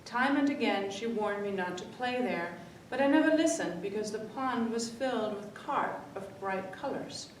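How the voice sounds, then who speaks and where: A woman's voice: lively intonation, moderate pace, hardly any pauses. A woman reading aloud to children as a library story-time reader, expressively acting out the dialogue. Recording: echoey room, distant mic, faint rumbly noise.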